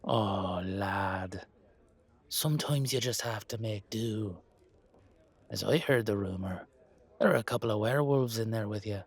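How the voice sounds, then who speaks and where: Guy doing a roguish old irish leprechaun for audio rp, husky, blunt, always needling his pals. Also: lowish voice, unhurried. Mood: teasing. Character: cheeky, teasing centuries-old male leprechaun. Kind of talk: roleplay audio drama.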